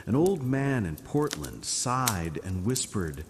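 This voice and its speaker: A man narrating a scripted bedtime story in a calm, soothing, dramatic way. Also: mid-range voice, slow pace, in a large hall, background noise.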